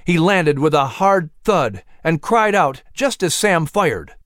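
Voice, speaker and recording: A man reading a children's adventure story as narrator, expressive and suspenseful. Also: on the high side, takes it slowly, no room sound, clean studio sound. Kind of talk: dramatic scripted story narration.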